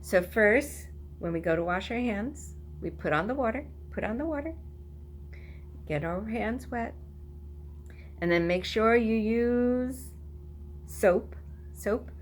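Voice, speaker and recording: A woman's voice: a little high, animated, takes it slowly, pauses often, slight background noise. A woman teacher talking to toddlers, giving instructions in simple, repeated phrases. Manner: simple, repetitive phrasing addressed to small children. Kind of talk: instructional talk and sing-along for toddlers.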